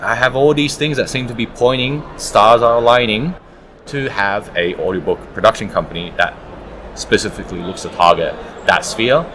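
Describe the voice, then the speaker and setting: A man's voice: on the low side, normal speed, a bit bright, slight background noise. A man answering interview questions conversationally as an audiobook producer at a live event.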